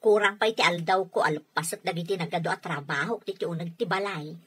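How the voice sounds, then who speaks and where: A woman's voice: on the low side, fast, breathy edge. A woman playing a complaining, hard-to-please housewife and elder sister in a radio comedy drama. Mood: envious, grumbling.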